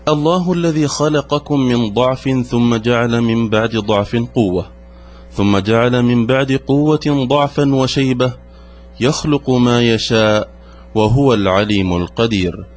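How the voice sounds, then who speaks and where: A man giving a solemn, measured scripted narration for a religious show's introduction. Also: medium pitch.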